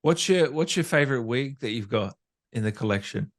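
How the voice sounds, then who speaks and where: A man's voice: medium pitch, animated, brisk. A man hosting a casual interview chat in an informal, encouraging way. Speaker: interview host.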